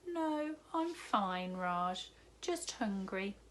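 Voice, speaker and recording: A woman's voice: mid-range voice, sing-song, drawn-out words, fairly bright tone, slight background noise, heavily acted. A woman voicing a sad, hungry young schoolgirl who speaks sheepishly and mumbles.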